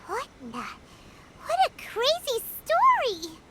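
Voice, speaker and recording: A woman voicing a tiny, excitable female fairy companion in a game, who talks about herself in the third person. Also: very high voice, slow, heavy background noise, full character voice. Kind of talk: game dialogue. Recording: game audio with loud music.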